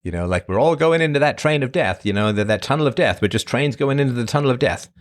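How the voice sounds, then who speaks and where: A man's voice: animated, fast. A middle-aged man from Ireland and England, rambling casually as a host answering his live chat. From born in Ireland, grew up in England. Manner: rambling, conversational, emphatic swings in pitch. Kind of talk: casual monologue.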